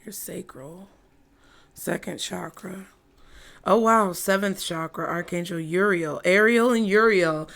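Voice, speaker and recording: A hoarse woman giving a casual, chatty tarot reading to her viewers. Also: lowish voice, fairly bright tone. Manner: slow, chatty, laughs often. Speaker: tarot reader.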